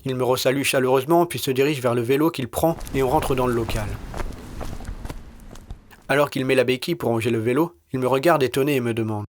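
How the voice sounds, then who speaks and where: A man with a medium-pitched voice reads a scripted first-person story. His delivery is terse and weary, with a wry, colloquial edge. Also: fairly quick, slight background noise. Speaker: narrator and author of an audio short story.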